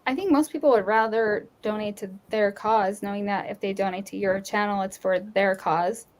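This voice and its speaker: A woman with a Utah accent, touched by North Dakota, talks casually on a livestream. She tells personal stories in an easygoing way at a middling pitch for a woman. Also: fairly even intonation, moderate pace. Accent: Utah with some North Dakota. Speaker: livestream co-host. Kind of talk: casual live chat.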